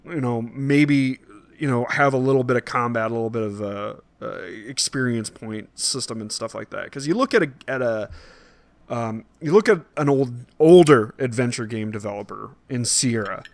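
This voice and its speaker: A man's voice: mid-range voice, normal speed, no room sound. A man in a casual, opinionated podcast discussion, explaining his points piece by piece as a co-host.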